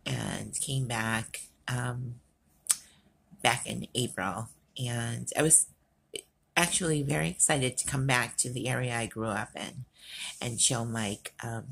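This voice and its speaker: Raspy, very low-voiced tired woman. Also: fairly bright tone, low-quality recording. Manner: rambling, earnest, frequent ums. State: tired, worn out. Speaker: vlogger. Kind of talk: unscripted rant to camera. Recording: faint outdoor work noise behind.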